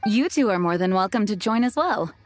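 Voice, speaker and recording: A woman playing a composed, kindly but firm knight commander in a video game, speaking in a measured, formal way. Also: mid-range voice, expressive, music in the background, lightly acted. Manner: measured, formal, gently authoritative.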